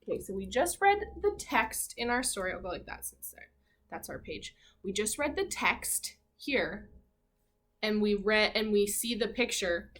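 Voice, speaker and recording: A woman teacher leading an interactive read-aloud for young children, asking questions and reading with animated emphasis. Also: mid-range voice. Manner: asks questions and pauses as if hearing answers. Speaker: elementary school teacher.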